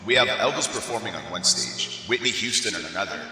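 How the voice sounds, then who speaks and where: An actor playing a breezy, folksy God, grand yet casual and jokey, in a comic audio play. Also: male voice, fairly low pitch, lively intonation, fast talker, bright, forceful tone. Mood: jovial, chatty. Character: God, breezy, folksy, jovial older male deity. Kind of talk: comic audio drama acting. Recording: echoing hall reverb, music underneath.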